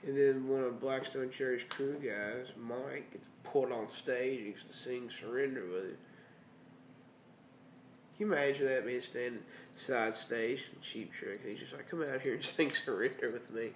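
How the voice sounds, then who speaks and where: A man's voice: mid-range voice, moderate pace, a little hiss or hum. A congested man hosting a casual podcast with bandmates in a house, joking and laughing as he rambles. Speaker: podcast host. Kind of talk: casual chat. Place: band house room. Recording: echoey room with faint ambience.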